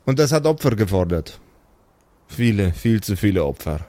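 A man playing a calm, remorseful supervillain in an improvised audio role-play, speaking slowly and solemnly. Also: lowish voice, dry recording. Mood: solemn, weary. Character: weary, remorseful male supervillain Magma Man, calm and relaxed.

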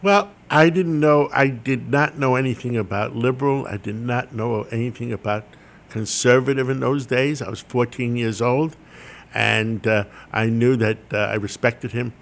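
A man's voice: mid-range voice, moderate pace, gravelly. An older man, a Greek speaker from childhood, telling wry, self-deprecating stories as an interview guest. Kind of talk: interview storytelling.

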